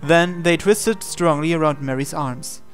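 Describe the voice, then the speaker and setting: A man reading scripted, dramatic story narration in a measured, solemn way. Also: fairly high pitch. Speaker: narrator reading translated game text. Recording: music behind the voice. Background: music.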